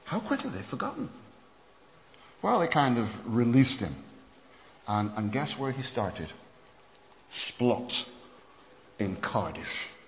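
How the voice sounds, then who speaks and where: A man's voice: medium pitch, takes it slowly, long pauses, slight background noise. Male preacher in an echoing hall. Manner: animated storytelling with many restarts. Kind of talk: sermon lecture on church history. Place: conference hall. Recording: muffled hall recording with long reverb.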